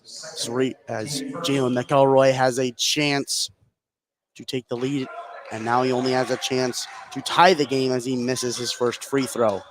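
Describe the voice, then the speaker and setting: A man's voice: mid-range voice, little pitch movement, a little slow, a crowd in the background, poor audio. A man doing live play-by-play commentary of a basketball game on the radio.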